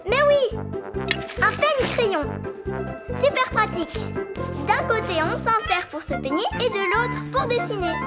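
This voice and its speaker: A woman playing a feisty, bossy, impulsive little girl in a children's cartoon, assertive and expressive. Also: extremely high pitch, measured pace. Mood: busy, assured. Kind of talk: French-language children's cartoon dub acting. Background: children's music and jingles.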